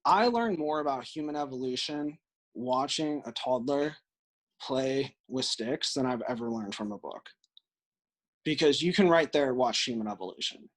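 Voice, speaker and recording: A man's voice: medium pitch, expressive, normal speed. A man with an American accent giving earnest, explanatory answers as a podcast guest in a conversational interview. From Illinois, United States.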